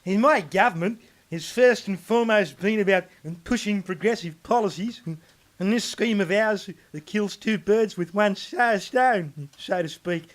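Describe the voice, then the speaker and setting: A high-voiced smug Australian politician. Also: male voice, measured pace. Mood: self-justifying. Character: smug scheming older Australian prime minister, gleefully callous. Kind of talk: satirical radio sketch acting.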